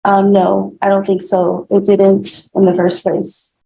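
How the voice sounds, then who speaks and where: A woman's voice: mid-range voice, completely flat delivery, gravelly, dark tone. Hesitant woman testifying in an echoey courtroom. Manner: hesitant, halting answers with fillers. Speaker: witness. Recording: echoey courtroom with faint room noise.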